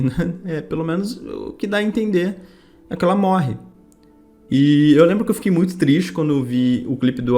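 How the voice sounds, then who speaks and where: A young Brazilian man with a São Paulo state accent speaks casually to his viewers over background music. His mid-pitched voice moves through personal anecdotes in loose slang, slipping into quoted dialogue as he goes. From Campinas, Brazil. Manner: informal, slangy, animated retelling with quoted dialogue. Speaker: video creator telling personal stories.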